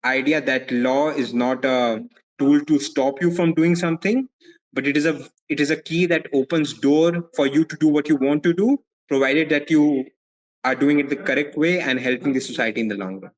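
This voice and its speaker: A young man from India with an Indian accent, answering interview questions as a legal advisor in a measured way, often repeating words as he thinks. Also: on the high side, fairly even intonation, poor audio. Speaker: foreign legal advisor, guest.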